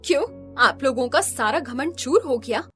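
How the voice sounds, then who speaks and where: A woman's voice: high voice, animated, unhurried, bright, forceful tone, soft background music, heavily acted. A woman playing an earnest, caring, hardworking restaurant owner in a cartoon. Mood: indignant. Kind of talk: scripted cartoon dialogue.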